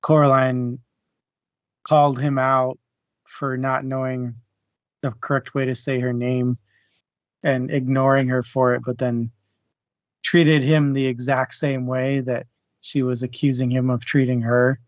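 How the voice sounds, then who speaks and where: A man's voice: mid-range voice, flat delivery, unhurried, long pauses, no echo. A man co-hosting a casual book discussion, talking through his thoughts in a conversational way. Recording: dry, muffled online audio.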